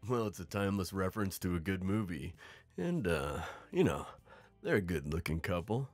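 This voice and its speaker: A man's voice: deep voice, lively intonation, moderate pace, a little mellow, soft background music. A man playing an easygoing, slightly nervous boyfriend in an audio roleplay, talking in a casual, affable way. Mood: affectionate, unsure.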